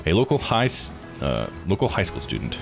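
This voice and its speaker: A man's voice: sits low, huge pitch swings, a little fast, gravelly, over music. A man streaming a game playthrough with casual commentary, musing aloud in an easygoing way.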